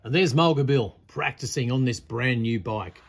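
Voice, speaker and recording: A man's voice: medium pitch, moderate pace, vocal fry, husky. A man reading a picture-book poem aloud as a storyteller, in a theatrical, dramatic style. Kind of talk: expressive read-aloud poetry recitation. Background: birdsong.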